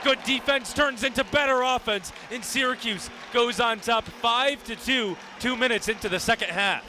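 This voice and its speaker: Young man, high voice, live radio sports play-by-play, clipped vivid calls, clearly noisy venue behind him. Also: moderate pace, crowd noise. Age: young adult. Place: live at a lacrosse game. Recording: noisy live stadium broadcast. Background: venue ambience and noise.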